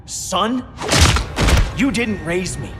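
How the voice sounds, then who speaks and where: An actor playing an arrogant, defiant young vigilante sidekick in a video game, with a sneering, bitter manner. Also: male voice, high voice, expressive, extremely slow, loud music behind the voice, exaggerated voice. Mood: angry defiant. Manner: sneering, confrontational.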